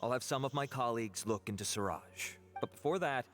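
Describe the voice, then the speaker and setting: A man playing a calm, courteous officer in a video game. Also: medium pitch, brisk, soft background music, natural voice. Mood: calm, procedural. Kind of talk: video game dialogue acting.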